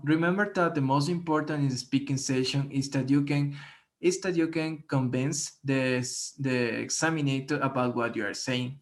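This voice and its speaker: A man from Ecuador with a Spanish accent, giving a class presentation on speaking strategies, careful and halting with many restarts. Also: mid-range voice. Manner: careful, with frequent fillers and restarts. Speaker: student presenter.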